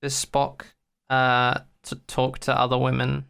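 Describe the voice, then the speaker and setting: Bright, clear podcast co-host with wry delivery. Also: medium pitch, monotone, measured pace. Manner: wry, teasing, opinionated. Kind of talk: casual chat.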